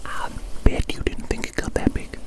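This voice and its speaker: A woman performing an ASMR roleplay as a playful evil scientist, speaking in mock-formal, repeated phrases. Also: normal speed. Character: playful evil mad scientist doctor, self-declared genius.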